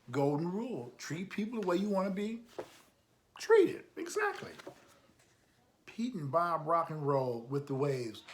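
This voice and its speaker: Male children's storytime host, voice a touch high, animated read-aloud delivery with encouraging asides straight to the listener. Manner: animated, encouraging, repeats key phrases, chats to the listener. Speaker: host of a children's storytime, youth club coach.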